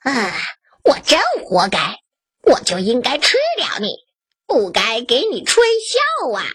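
A woman voicing a greedy, sneering male wolf in a children's story, speaking with a raspy, mocking voice. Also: fairly high pitch, big pitch swings, slow pace, a bit bright, no room sound, clean studio sound, exaggerated voice. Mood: regretful. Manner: mocking, bossy.

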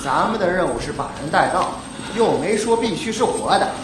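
An actor playing a callous, sardonic prison escort guard in a radio drama. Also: male voice, high voice, animated, a little fast. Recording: echoey, noisy ambience.

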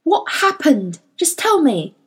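A concerned young boy from an audiobook story, played by a woman, with a raised, high voice. He is direct and steadying, pressing with short, urgent questions and commands. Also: big pitch swings, slow pace, heavily acted. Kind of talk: dramatized audiobook character voice.

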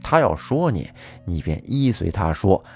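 A man voicing a steady older male shop manager who gives slow, fatherly advice. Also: low-pitched, creaky, slightly rough, soft background music, full character voice. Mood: advising.

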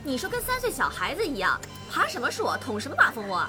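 A woman's voice: high-pitched, wildly swooping pitch, fast talker. A woman doing nagging wife in an audio drama, scolding away, voice jumps all over, music behind. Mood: exasperated scolding. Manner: exclaiming, complaining. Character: loud nagging wife, scolding her injured husband.

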